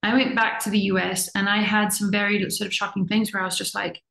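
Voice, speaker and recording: A woman's voice: mid-range voice, flat delivery, brisk, creaky, a bit bright. A middle-aged woman, born in the US and raised in Zimbabwe, talking earnestly as an interview guest and repeating words for emphasis. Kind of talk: reflective conversational talk. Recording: echoey room.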